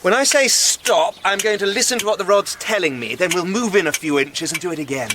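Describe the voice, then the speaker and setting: An actor playing a chummy, eager English amateur archaeologist in a radio drama, with an old-fashioned, enthusiastic way of talking. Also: male voice, high-pitched, a little fast, noisy background, lightly acted. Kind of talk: naturalistic radio drama acting.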